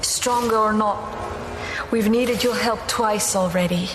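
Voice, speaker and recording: A woman portrays a tough young female knight in a dark fantasy game. Her medium-pitched voice is blunt and direct. It echoes around the space over a music bed. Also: measured pace, lightly acted. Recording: roomy echo, music behind.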